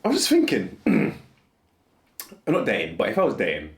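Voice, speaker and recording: A man's voice: on the low side, huge pitch swings, moderate pace. Guy in his 40s rambling to camera, voice jumps up and down a lot, roomy echo. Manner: rambling, restarts often, laughs at himself. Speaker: vlogger. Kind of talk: casual musing monologue. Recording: echoey room.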